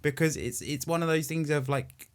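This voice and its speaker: A man's voice: fairly high pitch, fairly even intonation, normal speed, smooth. A man from England hosting a podcast, asking rambling, self-deprecating questions in casual chat. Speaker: podcast host.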